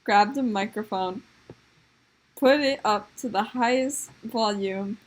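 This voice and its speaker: A woman's voice: on the high side, slow, poor audio. A woman improvising comic stories as a storyteller, with a dramatic, sometimes hesitant delivery. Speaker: storyteller of improvised puppet shows.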